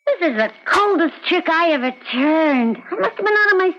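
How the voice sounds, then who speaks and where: An actress playing a brassy, streetwise young streetwalker in a radio drama, blunt, slangy and grumbling. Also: female voice, extremely high pitch, expressive, fairly quick. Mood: complaining. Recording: dull, noisy old radio recording.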